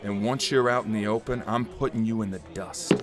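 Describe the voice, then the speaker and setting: A man's voice: lowish voice, fairly even intonation, fairly quick, loud music behind the voice, lightly acted. A man playing a menacing police detective in a noir audio drama, questioning people in a curt, intimidating way.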